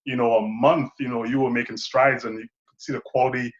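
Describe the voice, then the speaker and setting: A man's voice: medium pitch, little pitch movement, fairly quick, highs cut off. A man in his thirties from Saint Lucia, a filmmaker guest talking thoughtfully and rambling a bit. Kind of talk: casual interview chat.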